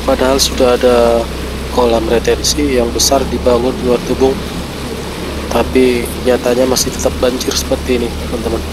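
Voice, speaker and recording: A man's voice: mid-range voice, flat delivery, moderate pace. A man, chatting to viewers as he vlogs while travelling along flooded city streets. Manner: chatty, keeps saying "friends" to viewers. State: stuffy nose from a cold. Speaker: vlogger. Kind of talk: casual travel vlog narration. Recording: traffic noise outdoors.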